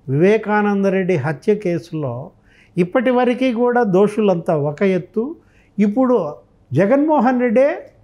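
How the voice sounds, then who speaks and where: High-pitched, emphatic male commentator. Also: dark tone. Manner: emphatic, rhetorical questioning, re-enacting others' remarks. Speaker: political commentator. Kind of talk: impassioned political commentary in discussion.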